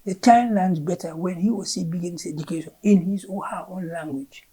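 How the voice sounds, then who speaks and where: A woman with a Gambian accent speaks as a curriculum official in an interview. Her voice is husky and mellow, low in brightness. She explains emphatically and repeats words as she searches for them. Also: brisk. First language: Fula. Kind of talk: explaining education policy in an interview.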